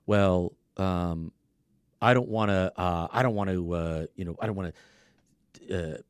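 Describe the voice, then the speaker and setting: Low-voiced man explaining things on a podcast. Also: fairly even intonation, a little slow, clean tone, no echo. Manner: explanatory, conversational, tells anecdotes. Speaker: car dealership service coach. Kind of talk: casual podcast conversation.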